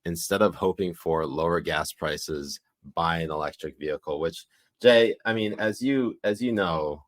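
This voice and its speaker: Very deep-voiced sardonic male host. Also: normal speed. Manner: sardonic, hosting with asides. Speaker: podcast co-host. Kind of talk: casual livestream commentary.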